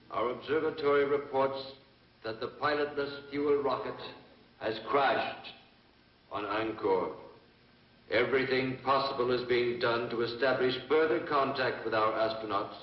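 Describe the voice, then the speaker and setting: An actor playing a grave space agency official making a formal, deliberate announcement in an old film. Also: male voice, medium pitch, natural voice. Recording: echoey, distant, muffled old film soundtrack.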